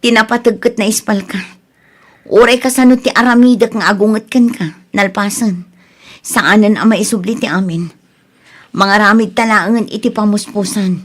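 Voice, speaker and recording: A woman's voice: mid-range voice, steady tone, normal speed, lightly acted. A woman playing a worried, scolding but practical wife in an Ilocano radio drama, with an exasperated, admonishing manner. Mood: resigned, practical.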